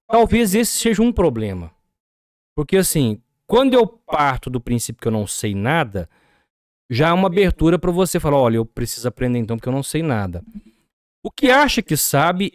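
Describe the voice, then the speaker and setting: A Brazilian man with a Brazilian Portuguese accent speaks as a podcast guest, his pitch a little high and rising and falling in a lively way. He explains business ideas and asks himself rhetorical questions. The sound is dry and close. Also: no echo.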